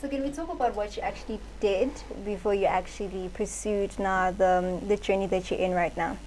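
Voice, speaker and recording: A woman radio host interviewing a guest and prompting her with soft, encouraging remarks. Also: medium pitch, fairly even intonation, fairly quick, slight background noise, rough recording.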